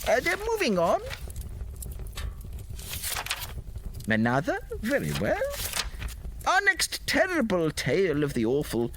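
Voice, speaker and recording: A man's voice: sits high, huge pitch swings, normal speed, noisy background. A man playing a theatrical, doddery old storyteller of mock-gothic tall tales, hammy and dramatic. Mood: grandly solemn.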